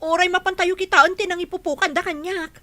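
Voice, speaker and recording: A distressed, insistent woman played by an actress in a radio comedy drama. Her voice is very high and piercingly bright, and she presses her complaint hard. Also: steady tone, brisk, no echo. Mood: urgent, indignant. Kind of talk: Ilocano radio drama acting.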